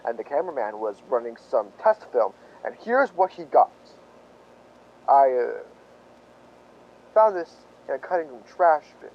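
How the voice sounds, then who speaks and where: A man acting a folksy, sly police lieutenant who toys with suspects in a halting, mock-humble way, in radio drama style. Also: medium pitch, sing-song, dull audio. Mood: needling, mock-innocent.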